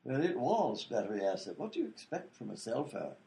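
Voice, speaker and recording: An actor playing a daffy, cheerful doctor in a comedic radio play. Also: male voice, medium pitch, very animated, fairly quick, creaky, dark tone, slight background noise.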